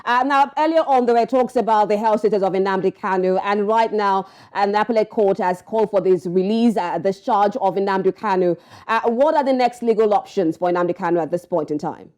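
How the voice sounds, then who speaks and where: A woman's voice: medium pitch, brisk, few pauses, a little mellow. Woman anchoring a news interview. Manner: probing, rephrases questions.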